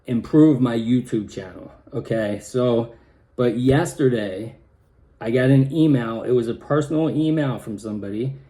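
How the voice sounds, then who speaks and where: A man with a slightly raspy, hoarse voice talking casually in a personal vlog, with many halting restarts. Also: mid-range voice, warm tone. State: hoarse from pollen. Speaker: vlogger.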